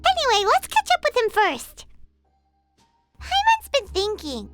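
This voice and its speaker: A woman voicing a tiny, excitable, childlike fairy companion in a video game, eager and boastful, exclaiming as she talks. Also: very high voice, unhurried, heavily acted. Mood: thoughtful. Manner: talks of self in third person, exclaiming. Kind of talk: video game character acting. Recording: music playing behind the voice.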